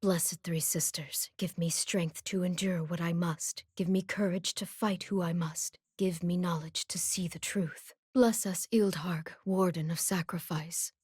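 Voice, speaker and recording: A woman voicing a calm, solemn warrior chieftain in a video game, grave and measured. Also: lowish voice, slightly rough, no echo, clean studio sound. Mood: solemn prayer. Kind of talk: video game character voice lines.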